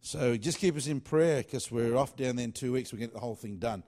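A man's voice: mid-range voice, fast, dark tone. A man who writes worship songs, talking casually in a loose, rambling way with many fillers and restarts. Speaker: worship singer-songwriter.

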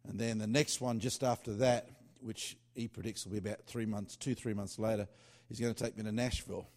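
Chatty man with a lowish voice. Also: steady tone, brisk, darkish tone. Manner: loose and chatty, with many fillers and restarts. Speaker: worship singer-songwriter. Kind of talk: casual rambling talk.

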